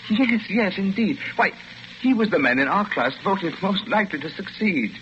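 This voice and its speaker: An actor playing a smug, condescending gentleman villain with a suave, pedantic, falsely polite manner, in 1940s radio drama style. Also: high voice, lively intonation, normal speed. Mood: mocking, amused. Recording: muffled, hissy 1940s radio recording.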